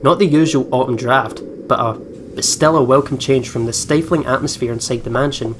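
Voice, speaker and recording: A man's voice: mid-range voice, moderate pace. A man hosting a let's play, reading story text aloud and breaking in with reactions and asides. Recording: clear background noise.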